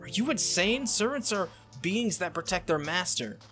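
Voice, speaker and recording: A man's voice: a little high, expressive, moderate pace, sharp tone, faint music behind. A man voicing a stern, proud female knight spirit from a visual novel, speaking formally and insistently. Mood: indignant.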